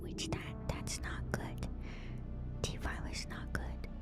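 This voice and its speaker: A woman doing a hushed, intimate ASMR stream, reading lore in a soft breathy whisper and adding casual asides to her viewers. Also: slow. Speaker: streamer host. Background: music.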